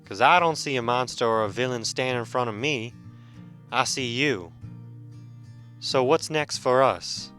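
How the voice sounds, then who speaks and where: A man plays a masked superhero recovering from injury in a radio drama. He is wary but earnest, with a mid-pitched voice and dramatic, questioning delivery over faint music. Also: unhurried, pauses often, sharp tone.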